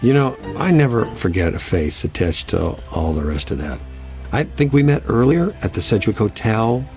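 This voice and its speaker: A man playing a deadpan, sardonic, flirty scientist who catches ghosts in a video game. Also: fairly low pitch, normal speed. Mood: wry. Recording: loud music behind the voice.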